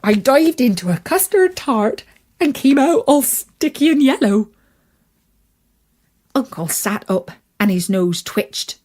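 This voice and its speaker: A woman storyteller reading a scripted children's fairytale in the first person, in an expressive, deliberate manner. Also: sits high. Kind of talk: scripted fairytale narration in character.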